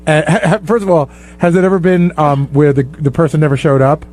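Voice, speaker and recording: A man's voice: a little high, fairly quick, a little mellow. A man co-hosting a casual talk show, bantering and pressing insistently with questions.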